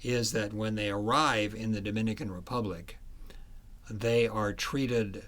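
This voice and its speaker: Man, author guest, fairly low pitch, conversational explanations, halting phrasing with repeats. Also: fairly even intonation, a bit bright. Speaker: author being interviewed.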